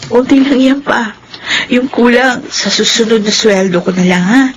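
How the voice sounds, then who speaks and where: A woman's voice: fairly high pitch, moderate pace, slight background noise, lightly acted, rough recording. A woman playing a troubled, guilty young adult daughter in a Filipino radio drama, emotional and defensive. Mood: sheepish, pleading.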